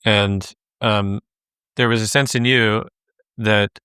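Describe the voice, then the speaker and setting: A man's voice: lowish voice, fairly even intonation, slow pace, bright, forceful tone, clear, resonant tone, no echo, studio quality. A man hosting a podcast interview, affirming his guest and asking long, rambling questions full of fillers. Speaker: podcast host. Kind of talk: interview conversation.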